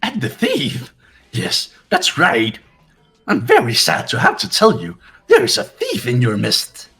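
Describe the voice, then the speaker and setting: A sinister cartoonish robot bear from a video game. The voice lurches up and down with a husky, creaky edge. The delivery is melodramatic and mock-sweet, with music underneath. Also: male voice, mid-range voice, huge pitch swings, a little slow, sharp tone, full character voice. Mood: aggrieved, angry. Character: sinister theatrical robotic bear mascot, male, gleefully cruel. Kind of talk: fan-voiced game dialogue.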